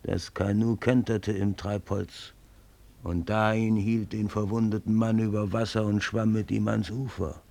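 An actor playing a sly one-eyed servant reporting to his raja, speaking in a measured, ceremonious storytelling manner in a radio drama. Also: lowish voice, level tone, warm tone. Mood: grave, conspiratorial. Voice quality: gravelly, husky. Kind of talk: solemn German radio drama acting.

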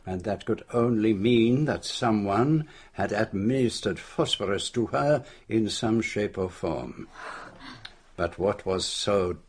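A man's voice: lowish voice, slow, darkish tone, a little hiss or hum. An actor playing a shrewd, self-assured male detective with a French accent, speaking precisely and formally in a radio drama. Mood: measured explaining.

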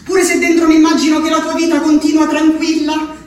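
Woman acting very high-voiced, anguished Abruzzese asylum inmate. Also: monotone, darkish tone, natural voice. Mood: strained, defiant. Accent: central-southern Italian, Abruzzese. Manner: rustic, halting, tagging phrases with "eh". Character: anguished peasant wife shut in an asylum, pleading. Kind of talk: theatrical monologue, letter spoken aloud. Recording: roomy, distant stage sound, faint noise.

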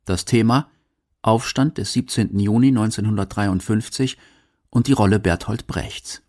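A man's voice: fairly low pitch, moderate pace, darkish tone. A man reading scripted narration for a radio feature as its documentary narrator.

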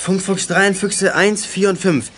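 A man's voice: high-pitched, fairly even intonation, normal speed, noisy background, lightly acted. An actor playing a level-headed boy detective leader in a German youth radio play, giving clipped radio commands. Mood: commanding, focused.

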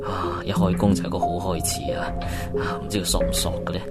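Man playing a grumbling, low-voiced Hong Kong driver. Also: steady tone, fairly quick, close to an everyday voice. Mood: eager. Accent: Hong Kong Cantonese. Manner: slangy, cursing, muttering to himself. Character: hot-tempered, crude, grumbling male Uber driver. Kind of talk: radio drama acting. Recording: music behind the voice.

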